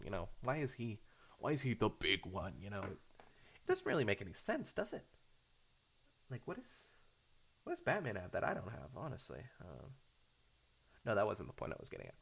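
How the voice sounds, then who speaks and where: Man, low gravelly voice, swooping intonation, casual rambling, hesitant and halting delivery. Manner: hesitant, halting, trails off into tangents. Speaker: fandub creator talking off the cuff.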